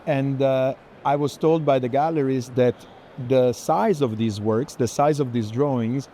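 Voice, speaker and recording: A man leads a conversation on the move. His tone is dark and relaxed at a medium pitch, and he explains at length. Ambient noise surrounds him. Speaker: curator hosting a walking tour. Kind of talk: itinerant art conversation. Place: busy art fair. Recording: clear urban ambient noise.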